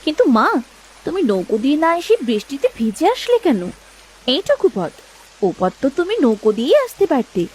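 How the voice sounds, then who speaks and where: Cartoon village wife, young and caring, played by a woman; highly animated, slightly high, husky. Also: brisk, low-quality recording. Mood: concerned. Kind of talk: cartoon dialogue.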